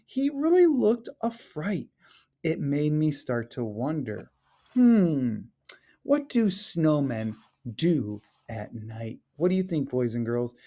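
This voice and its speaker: A man reading a picture book aloud as a teacher, chatting to children and explaining the pictures with expressive, drawn-out phrasing. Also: medium pitch. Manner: questions and chats to children, explaining illustrations.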